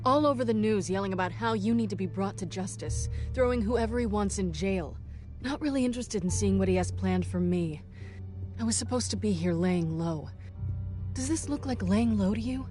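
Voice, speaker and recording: A woman's voice: mid-range voice, normal speed, mellow, natural voice. A woman acts as a worldly, guarded fugitive in a video game drama. She speaks in a mid-range voice with wry coolness, light music underneath. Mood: frustrated.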